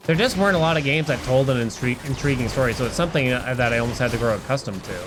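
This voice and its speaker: A man's voice: mid-range voice, rapid-fire, rough recording. A middle-aged man chatting casually as he commentates a live game stream, thinking aloud in a rambling way. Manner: rambling, thinking aloud, humming little nonsense tunes. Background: loud game music.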